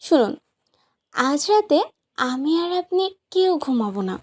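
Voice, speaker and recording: A woman's voice: sits high, very animated, a little slow, darkish tone. A woman playing a playful, sweetly bossy young new bride in a romantic audio story, with a pouty, teasing, insistent manner.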